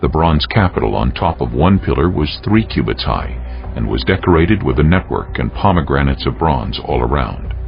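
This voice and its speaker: A man narrating scripture as a dramatized reading, in a solemn, measured storytelling manner. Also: a bass voice, vocal fry. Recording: dull lo-fi sound under music. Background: prominent background music.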